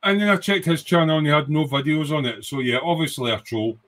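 A man's voice: a little high, fast talker, fairly bright tone. Rambling older Scottish man chatting on livestream. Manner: rambling, informal. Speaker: livestream host, hobbyist. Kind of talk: casual livestream chat. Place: small home workshop room.

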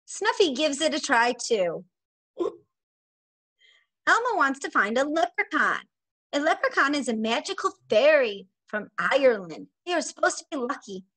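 A woman in her forties, a preschool teacher, reading a picture book aloud to young children in an expressive, sing-song manner. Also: high-pitched, a little slow. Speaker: preschool teacher and story time host. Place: small indoor room at home.

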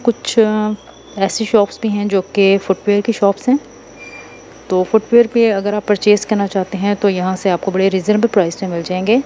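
A woman's voice: medium pitch, level tone, moderate pace, mellow, highs cut off, a little hiss or hum. A woman vlogger with a Pakistani Urdu accent, casually walking through a busy bazaar and chatting about bags. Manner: chatty, informal shop tips. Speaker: shopping vlogger. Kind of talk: casual market walkthrough vlog.

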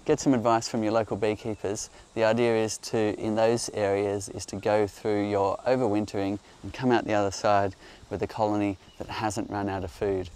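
A man calmly explaining beekeeping outdoors among hives, speaking in a gentle, explanatory way while hosting a live Q&A. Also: lowish voice, moderate pace, few pauses, clear, resonant tone. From Australia. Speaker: beekeeper host. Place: outdoors on a hillside among beehives. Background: faint outdoor ambience and buzzing bees.

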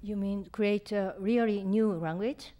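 A woman in her fifties from Japan with a Japanese accent, answering audience questions in English at a reading, halting and searching for words, on a stand mic. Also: medium pitch, mellow. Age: 50s. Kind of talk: live Q&A at a reading. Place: lecture room on stage. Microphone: stand mic in front.

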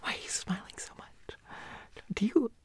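A woman playing a nervous, pouty teenage schoolboy in a whispered audio roleplay, breathy, hesitant and pleading. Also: normal speed, no room sound, lightly acted.

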